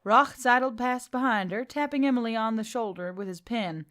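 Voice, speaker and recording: A woman's voice: fairly high pitch, normal speed, fairly bright tone. A woman reading a scripted fantasy story as an audiobook narrator. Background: music.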